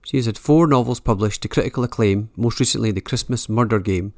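A man's voice: lowish voice, fast talker, darkish tone. A man speaking as the interviewer on a books podcast.

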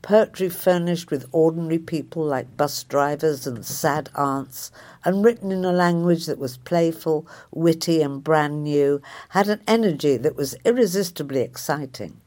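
Woman, 60s, low voice, writer, measured reflective essay reading. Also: a little mellow. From Liverpool area, England.

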